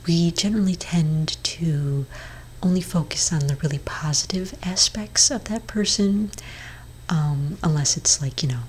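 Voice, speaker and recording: A woman playing a gentle, reassuring witch therapist in an ASMR roleplay, speaking in a soft, breathy whisper with hesitant pauses. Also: deep voice, low-quality recording. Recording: clearly audible background noise.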